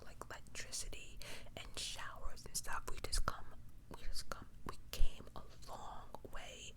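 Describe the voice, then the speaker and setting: A woman whispering true crime stories for ASMR, with casual, rambling asides in a breathy, unvoiced voice. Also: poor audio. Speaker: ASMR host.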